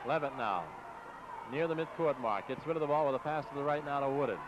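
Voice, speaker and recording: Man, American accent, radio basketball commentator, slightly high voice, clipped phrases, very noisy 1960s broadcast recording. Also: fast talker, lo-fi sound. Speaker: radio play-by-play announcer. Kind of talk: live basketball play-by-play commentary. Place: courtside in a large exposition hall.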